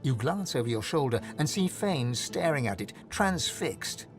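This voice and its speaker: A man's voice: medium pitch, big pitch swings, unhurried, over music. A man playing a solemn storyteller, narrating a fantasy video game dramatically in the second person. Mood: grave, suspenseful.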